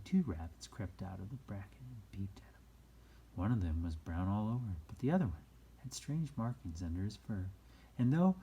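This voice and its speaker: A storyteller reading aloud for children in a soft-edged, husky voice. The pitch moves in a lively way, dropping into hushed moments and rising for dramatic emphasis. The tone stays mellow. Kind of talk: expressive read-aloud storytelling.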